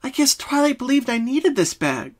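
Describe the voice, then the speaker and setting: A man's voice: extremely high pitch, sing-song, normal speed, pitched above the performer's own voice, full character voice. A man voicing a naive, anxious young female cartoon pony with a wondering, tentative manner in a story reading. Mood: confused, uneasy.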